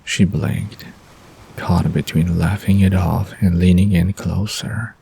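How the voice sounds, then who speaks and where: Deep, gravelly, slow male storyteller. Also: fairly even intonation, mellow. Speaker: narrator. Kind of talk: scripted story narration for bedtime audio drama.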